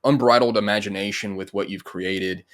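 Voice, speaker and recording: Low-voiced man hosting a casual interview. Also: steady tone, normal speed. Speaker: host, interviewer. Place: indoor room on a video call.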